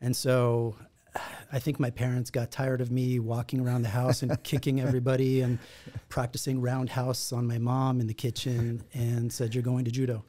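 A man in his forties from Israel, a podcast guest, telling his story in a reflective, measured way at a studio mic. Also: medium pitch, monotone, mellow. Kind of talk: conversational storytelling. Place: podcast studio in a martial arts gym. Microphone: boom-arm studio mic with headphones.

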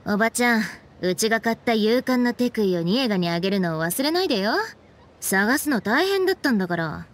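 A woman playing a cool, laid-back, sharp-witted young woman with a casual, blunt manner in an anime game. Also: medium pitch, animated, lightly acted. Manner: casual, blunt, unhurried, pointed questioning. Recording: background music under the voice.

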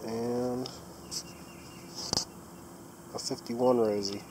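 A man's voice: mid-range voice, little pitch movement, drawn-out words, noisy background. A man with a cold narrating his metal detecting finds outdoors, speaking casually to viewers while he digs. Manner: plain, upbeat, talks to viewers while working. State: stuffed up from a cold. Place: outdoors in woods and a yard.

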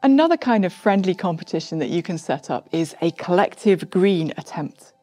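Middle-aged woman, warm and mellow, clear friendly tutorial delivery, faint music bed. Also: fairly low pitch, moderate pace. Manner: clear, friendly explaining with lively emphasis. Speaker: software coach. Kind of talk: explanatory tutorial to camera. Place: studio with plain backdrop.

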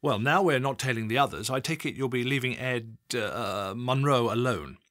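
A man voicing a blustering middle-aged male senior police chief who scolds gruffly and with exasperation. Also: medium pitch, normal speed, a bit bright, no echo, clean studio sound, close to an everyday voice. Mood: scolding.

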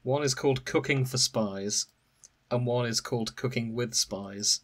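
A man's voice: medium pitch, a little slow, a little hiss or hum. A man hosting a podcast, chatting casually with his co-hosts. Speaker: podcast host. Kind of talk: casual chat.

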